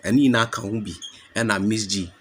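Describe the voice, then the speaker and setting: A man's voice: on the low side, steady tone, normal speed, slight background noise. A Ghanaian man presenting a gossip channel, speaking to his viewers as family in English mixed with Twi. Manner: addresses viewers as family, explains and praises. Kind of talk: commentary mixing English and Twi.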